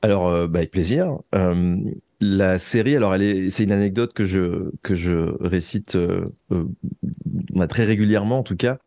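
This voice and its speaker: A man's voice: sits low, normal speed, mellow, dry recording. A man telling stories conversationally as an interview guest, hesitant and digressive, often rephrasing himself. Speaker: interview guest, author of audio fiction.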